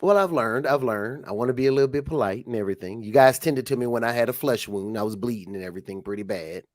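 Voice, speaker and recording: A man's voice: mid-range voice, fast talker, no echo, clean studio sound. A man co-hosting a wrestling podcast as a referee, chatting casually with nervous, stammering restarts.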